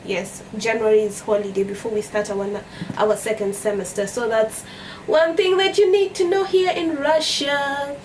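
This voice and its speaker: A young Kenyan woman with a fairly high voice talks casually to her viewers in a storytime vlog. Her accent is Kenyan, and she is chatty, often repeating phrases for emphasis. She sounds a little way from the mic, with faint noise around her. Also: steady tone, moderate pace, a little mellow. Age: young adult. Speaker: vlogger. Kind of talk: casual storytime chat to camera. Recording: distant mic with background noise.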